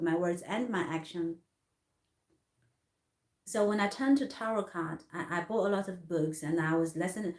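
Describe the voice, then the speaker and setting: Halting woman from Hong Kong, lowish voice. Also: reverberant. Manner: halting, many restarts and fillers, non-native grammar. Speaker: artist vlogger. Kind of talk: casual personal storytelling.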